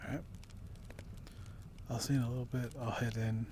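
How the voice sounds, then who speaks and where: A man voicing a brave but wary barbarian recruiter in a dark fantasy ASMR roleplay, speaking in a hushed, halting way. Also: mid-range voice, little pitch movement, background noise, close to an everyday voice. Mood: cautioning, gruff. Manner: slow, hushed, halting delivery with pauses. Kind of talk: ASMR roleplay, whispered and softly spoken.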